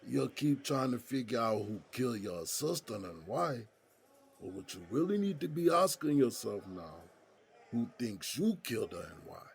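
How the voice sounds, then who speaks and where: An American man playing a streetwise, seasoned inmate in an audio drama, with a husky voice and folksy, slangy talk. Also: mid-range voice, very animated, normal speed, heavily acted. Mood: probing, insistent. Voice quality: husky, gravelly. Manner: folksy street slang, probing rhetorical questions. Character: streetwise, seasoned male prison inmate mentoring a younger friend.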